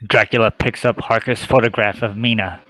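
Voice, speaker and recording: A man's voice: fairly low pitch, fairly even intonation, a little fast, a bit bright, low-quality recording. A man reading stage directions as scripted narration for an amateur drama table read.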